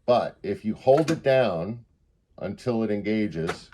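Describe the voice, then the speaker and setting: A man's voice: on the low side, animated, slow pace, mellow, a little hiss or hum. A man giving a casual hands-on product demonstration, explaining step by step and thinking aloud. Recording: music playing in the background.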